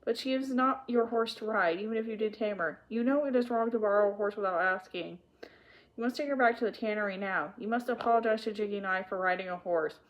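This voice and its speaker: A woman as storybook father, firm, measured, stern yet kindly, fair-minded. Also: mid-range voice, lightly acted, rough recording.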